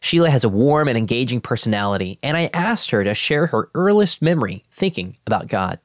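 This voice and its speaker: A man hosting the show, reading an ad and narration in a smooth, even announcer delivery. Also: mid-range voice, fairly quick, no echo, clean studio sound. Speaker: host. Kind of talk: scripted narration and ad read.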